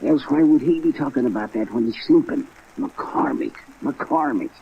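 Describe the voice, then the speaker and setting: An actor playing an ailing, scheming old convict in a 1950s radio drama, slangy, halting and pleading. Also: male voice, medium pitch, close to an everyday voice. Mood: insistent. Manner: slangy, excitable, insistent, halting. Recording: muffled old radio recording, rain noise.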